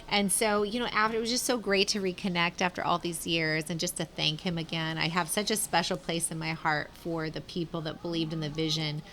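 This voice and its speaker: A woman with a low voice tells her story in an easygoing interview. She keeps quoting what she said back then, and her voice jumps higher and louder when she does. A faint hum of room noise sits under her voice. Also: a little fast, hardly any pauses. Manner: animated, quotes herself in lively asides. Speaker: founder of a youth culinary program.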